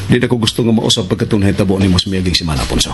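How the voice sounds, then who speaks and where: A man's voice: sits low, animated, very fast, over loud music. A man playing a menacing, commanding crime boss in a radio action drama. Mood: stern, threatening. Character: menacing male crime boss, threatening his underling. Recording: clearly noisy background.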